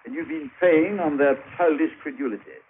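An actor playing a prim, self-righteous missionary in a 1950s radio drama, speaking in a formal, lecturing manner. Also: male voice, fairly high pitch, normal speed, phone-quality sound, close to an everyday voice. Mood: stern, indignant. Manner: formal, proper, indignant, lecturing. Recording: thin, faintly noisy vintage radio broadcast.

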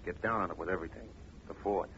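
Very deep, furtive tipster. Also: male voice, quick pace, a bit bright. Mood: urgent, furtive. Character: furtive, urgent male racetrack tipster on the phone. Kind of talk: 1950 radio drama acting. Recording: dull, noisy old radio recording.

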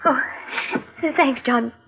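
An actress playing an anxious, secretive wife in a 1940s radio mystery, speaking with nervous hesitations and repeated words. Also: female voice, fairly high pitch, slow, vocal fry, husky, exaggerated voice. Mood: shaky, evasive. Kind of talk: 1940s radio drama acting, confessional narration. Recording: narrow-band vintage radio recording.

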